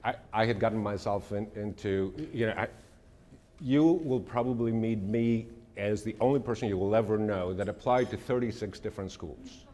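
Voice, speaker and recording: A middle-aged man from Greece, giving a measured, earnest presentation and answering audience questions. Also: lowish voice, warm tone, big-room sound. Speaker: speaker.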